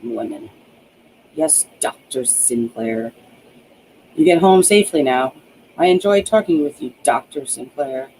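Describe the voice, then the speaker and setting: A man's voice: medium pitch, expressive, measured pace, long pauses, a little mellow, a little hiss or hum, low-quality recording. A man voicing a weary, protective, sardonic ex-cop in an audiobook, earnest and plain-spoken. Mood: sarcastic.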